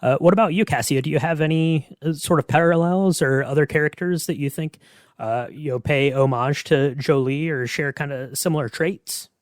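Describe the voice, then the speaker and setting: A man's voice: fairly high pitch, normal speed, dry recording, studio quality. A man hosting a podcast in a casual discussion, speaking conversationally. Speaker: podcast host.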